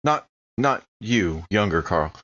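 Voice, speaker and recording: Male tabletop player, very low pitch, casual delivery, dull lo-fi recording. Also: lively intonation, takes it slowly, fairly bright tone. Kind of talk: casual game chat. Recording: dull lo-fi microphone sound.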